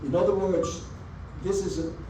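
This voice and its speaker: A man from Norway with a Norwegian accent, giving an earnest, measured presentation over slides at a community meeting and stressing key words. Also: sits high, steady tone. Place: meeting room with an audience. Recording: echoey meeting room, mic at a distance, audible background noise.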